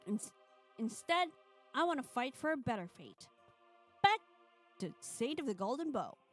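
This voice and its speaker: Woman streamer, kinda high voice, reading a visual novel out loud and chatting, bit of music behind. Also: big pitch swings, a little slow. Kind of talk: casual chat and reading a visual novel aloud on a livestream.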